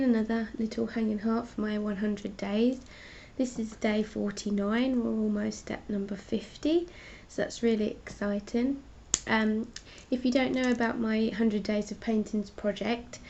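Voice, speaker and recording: A congested woman with a mellow, flat-toned voice talks casually through her artwork on a live stream, explaining each piece in a chatty way. The sound is dull and lo-fi, with a faint rumble behind her. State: congested with a cold. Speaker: artist hosting her own live stream. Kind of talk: casual art chat, showing paintings.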